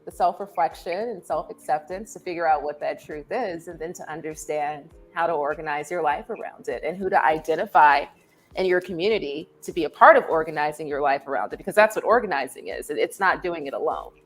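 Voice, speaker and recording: A woman's voice: deep voice, a little fast, slight background noise. A woman speaking as a podcast guest in a reflective discussion, thoughtful and often repeating words as she works out her point.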